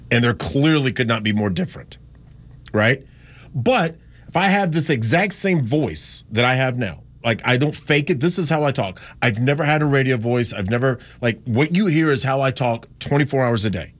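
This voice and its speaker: A man from North Carolina hosting a radio show, talking in a rambling, chatty way with frequent restarts and no strong accent. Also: mid-range voice. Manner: chatty, rambling, self-correcting. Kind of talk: casual on-air talk.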